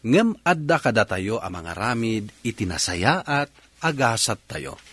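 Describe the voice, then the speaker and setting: A man's voice: on the low side, big pitch swings, a little slow, fairly bright tone, breathy edge, dry recording. A man with an Ilocano accent hosting a radio drama, delivering a scripted introduction as narrator.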